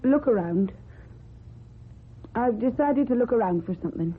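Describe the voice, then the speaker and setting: An actress with a British accent playing a guarded young runaway woman in a radio drama, giving short, plain replies in a husky, breathy voice. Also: fairly high pitch, animated, moderate pace. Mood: polite, evasive. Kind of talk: old-time British radio drama acting. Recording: thin, muffled old radio recording.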